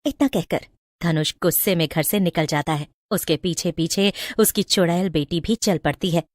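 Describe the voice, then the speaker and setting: A woman's voice: lowish voice, normal speed, no room sound, clean studio sound. A woman storyteller reading a scripted moral tale in a dramatic narrating style.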